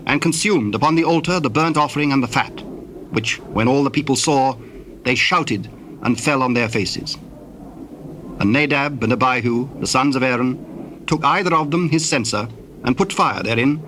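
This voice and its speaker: A man narrating scripture from a script in a formal, solemn and deliberate manner. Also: mid-range voice, fairly bright tone, slight background noise, rough recording.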